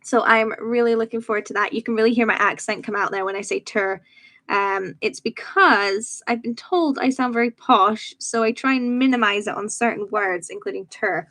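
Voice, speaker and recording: A young woman from Edinburgh with a soft, somewhat posh-sounding Scottish accent, chatting casually and rambling during a crafting livestream. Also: a little high, moderate pace. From Edinburgh, Scotland. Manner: chatty, rambling, laughs easily, many "um"s and "like"s. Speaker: YouTube livestream host. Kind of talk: casual chat while crafting.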